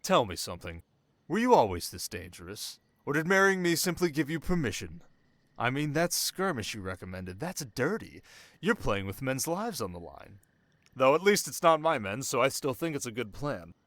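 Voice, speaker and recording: Male actor as young desert king: commanding, sharp, teasing, mid-pitched, intimate dry sound, ASMR roleplay. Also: big pitch swings, dry recording. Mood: intrigued, teasing. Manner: measured, teasing, regal, with dramatic pauses. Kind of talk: scripted ASMR roleplay addressed to the listener.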